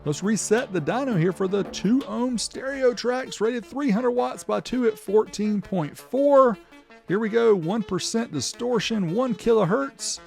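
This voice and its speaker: Man, fairly high voice, gravelly fry, step-by-step demo narration, faint music behind. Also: measured pace. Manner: explanatory, reading out figures step by step. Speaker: host of a car audio amplifier test video. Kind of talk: demonstration narration with test readouts.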